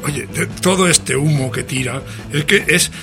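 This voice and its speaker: A man's voice: fairly high pitch, a little slow, vocal fry. A man with an accent from Spain hosting a show in a chatty, jocular, theatrical way, full of exclamations. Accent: Spanish from Spain. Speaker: radio show host. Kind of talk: chatty, playful show presentation. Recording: music playing behind the voice. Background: music.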